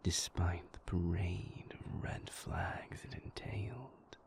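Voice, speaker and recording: A man playing a moody, brooding vampire in an intimate audio roleplay, hushed and gravelly, speaking deliberately with weary, dry wit. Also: in a whisper, full character voice. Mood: wry, reluctant. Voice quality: breathy, hushed, gravelly low voice. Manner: slow, deliberate, formal wording, dryly wry.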